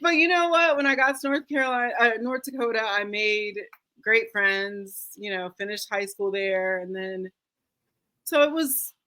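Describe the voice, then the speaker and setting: A woman's voice: mid-range voice, normal speed, sharp tone. A woman with an American accent talking casually as an interview guest, informal and easygoing as she reminisces. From United States. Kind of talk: casual chat, personal recollection.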